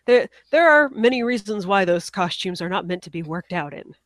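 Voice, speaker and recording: A woman's voice: mid-range voice, expressive, moderate pace. A woman chats casually as a podcast co-host, her nose stuffed up from a cold. She sounds apologetic and self-deprecating and laughs at herself often. The sound is dry and close, with no room echo. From United States. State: congested from a cold. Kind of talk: casual chat.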